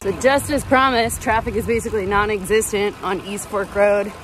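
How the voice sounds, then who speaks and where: A woman's voice: mid-range voice, steady tone, normal speed, over music, poor audio. A woman in her thirties narrating a casual cycling vlog outdoors, upbeat and chatty, recorded on an action camera. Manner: upbeat, chatty, tour-guide commentary. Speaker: vlogger. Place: outdoors on a mountain road, riding a bike. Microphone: action camera on the bike.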